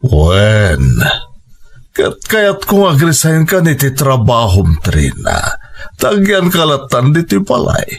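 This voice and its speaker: An actor playing a wealthy married man who indulges his lover in an audio drama, speaking with a Filipino accent and measured, dramatic delivery. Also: medium pitch, wildly swooping pitch, vocal fry, a little hiss or hum, heavily acted. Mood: assuring. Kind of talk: Ilocano audio drama acting.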